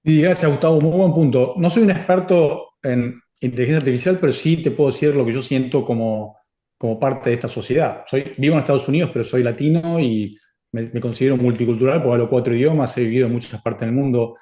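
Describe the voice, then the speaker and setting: A man's voice: medium pitch, fast talker, warm tone. Middle-aged man, echoey room. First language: Spanish. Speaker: speaker answering audience questions. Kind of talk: casual Q&A talk.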